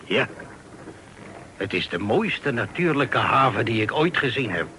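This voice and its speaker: A man's voice: medium pitch, big pitch swings, measured pace, pushed and bright. A man acting a talkative, folksy Dutch sea captain of forty-five in an old radio play. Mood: storytelling. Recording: old radio recording with hiss.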